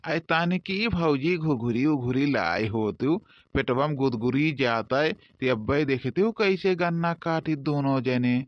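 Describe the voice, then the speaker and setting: A man's voice: medium pitch, a little slow, dry recording, muffled recording, lightly acted. A man voicing a complaining village farmhand in a rustic comedy cartoon, speaking with an Awadhi accent. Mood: defensive banter. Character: grumbling Awadhi village farmhands idling over cane cutting.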